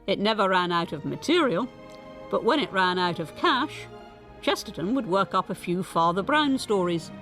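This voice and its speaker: A woman's voice: medium pitch, animated, normal speed, fairly bright tone, soft background music. A woman presenting and interviewing on radio, articulate and emphatic in her phrasing. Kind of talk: scripted narration and conversational interviewing.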